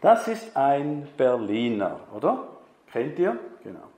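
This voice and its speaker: A man's voice: medium pitch, sing-song, takes it slowly, a little mellow. A man preaching a conversational sermon, putting questions to the congregation. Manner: chatty, asks the congregation questions. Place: church hall. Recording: echoey church hall.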